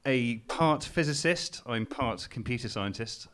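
A man's voice: mid-range voice, expressive, a little slow, fairly bright tone, a little hiss or hum. Young Geordie man doing stand-up. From England. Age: young adult. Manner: casual, self-mocking, hesitant with ums. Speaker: comedian. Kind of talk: stand-up comedy set. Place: live stage before laughing audience.

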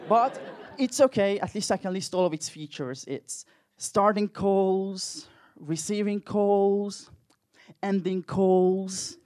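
A man's voice: high voice, slow pace, a little mellow. A young man with a Hungarian accent doing a dry, self-mocking stand-up comedy set with crude jokes. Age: young adult.

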